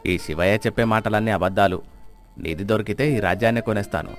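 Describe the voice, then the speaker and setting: A man's voice: lowish voice, quick pace, soft background music, natural voice. An actor playing a greedy, arrogant rich village strongman in a moral story, boastful and menacing.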